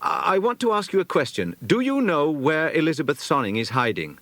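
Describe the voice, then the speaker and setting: Man playing an excitable schoolboy, high-pitched. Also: brisk, no room sound. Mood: earnest. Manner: exclamatory, earnest. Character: eager, excitable schoolboy detective in children's adventure.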